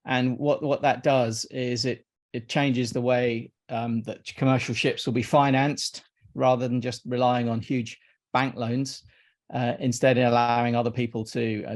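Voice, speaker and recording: A man in his fifties, originally from Iraq, chats as an interview guest. His delivery is fairly flat, with little rise and fall in pitch, and he stumbles through his sentences, repeating words and starting over. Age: 50s. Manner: hesitant, repeats words and restarts often. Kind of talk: casual interview chat.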